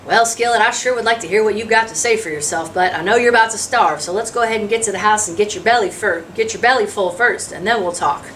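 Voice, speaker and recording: A woman's voice: mid-range voice, quick pace, hardly any pauses, fairly bright tone, lightly acted. Storybook frontier dad, read by a woman: hospitable, practical, country dialect. Faintly noisy, distant mic. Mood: welcoming. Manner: folksy rural dialect, practical and welcoming. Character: hospitable homesteader father, plain-talking frontier man.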